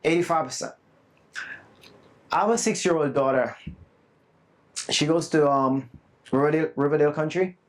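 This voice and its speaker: A man presenting at a live summit, his voice sitting a bit high for a man. He talks earnestly and emphatically to the room and drops in humble, self-deprecating asides. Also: normal speed, pauses often. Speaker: conference presenter. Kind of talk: live summit talk to an audience. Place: conference room with a live audience. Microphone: not loud at first, asked to speak louder.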